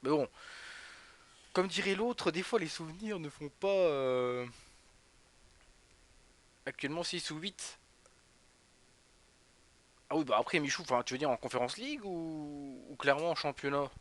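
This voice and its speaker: A man's voice: a little high, unhurried, long pauses, a bit bright. A young man from northeastern France streaming live, chatting with viewers by name while casually commenting on a football match. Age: young adult. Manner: rambling, chatty, talks straight to viewers by name. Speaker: Twitch streamer.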